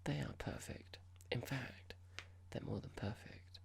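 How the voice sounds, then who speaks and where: A performer playing a horrible, condescending young corporate boss in an ASMR roleplay, speaking in a breathy whisper with a measured, pompous, formal manner. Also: mid-range voice, full character voice. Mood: smug, stern. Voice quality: breathy, gravelly whisper with clicks.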